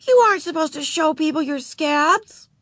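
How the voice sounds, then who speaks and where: Brief: woman playing a flustered older sister, soft and pleading, pitched high. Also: moderate pace, dry recording, clean studio sound. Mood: horrified. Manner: anxious, hushed, pleading. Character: embarrassed proper older sister, young girl.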